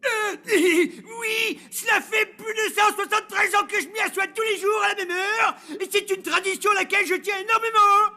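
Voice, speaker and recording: Brief: high, bright, fussy and overly polite old cartoon gentleman. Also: fairly even intonation, normal speed, few pauses, heavily acted. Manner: overly polite, formal phrasing. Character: prim, old-fashioned male hotel regular attached to his armchair. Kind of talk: French cartoon dub.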